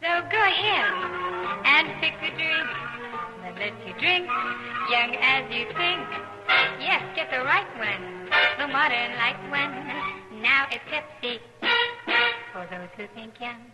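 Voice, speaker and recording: Woman singer, high voice, slow phrasing, old radio jingle, loud music behind. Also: lively intonation, rough recording. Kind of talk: sung vintage radio advertising jingle.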